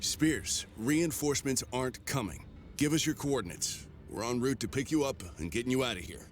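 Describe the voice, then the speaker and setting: An actor playing a grim, battle-worn mercenary commander in a video game, speaking in terse, measured lines. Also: male voice, medium pitch, lightly acted. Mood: grim resolve. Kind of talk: cinematic game voice acting. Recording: music behind the voice.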